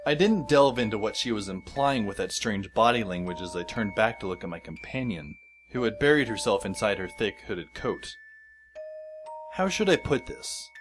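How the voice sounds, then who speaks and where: A man playing a wry, weary teenage schoolboy who narrates an audio drama in a dry, deadpan way. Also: fairly low pitch, lightly acted. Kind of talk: scripted first-person audio drama narration.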